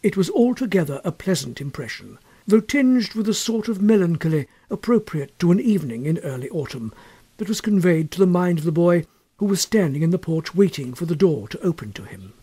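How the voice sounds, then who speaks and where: A man reading a literary story as an audiobook narrator, measured and expressive in his storytelling. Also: high-pitched, breathy edge. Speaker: audiobook reader.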